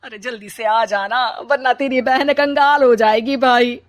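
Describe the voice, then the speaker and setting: A woman's voice: on the high side, fairly even intonation, measured pace, lightly acted. A woman playing a bossy, plotting older mother-in-law in a comic family story, dramatic and complaining.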